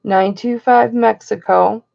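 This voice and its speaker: Slightly hoarse gravelly lady doing a chatty live auction, slow and kinda high, muffled stream sound. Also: steady tone. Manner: chatty, greets viewers by name.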